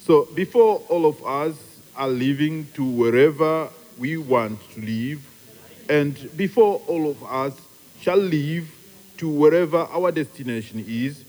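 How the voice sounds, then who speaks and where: A man's voice: fairly high pitch, animated, slow, darkish tone. A man acting in a radio play as a solemn, grandiloquent speaker ceremoniously addressing travellers. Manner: ceremonious, oratorical. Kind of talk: theatrical speech in a radio play. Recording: long hall reverb.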